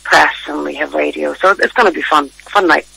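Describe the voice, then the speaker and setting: An Irish woman with a low speaking voice, a singer chatting as a radio guest in a relaxed way and laughing often. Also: fairly quick, creaky, husky, poor audio. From Ireland. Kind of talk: radio interview.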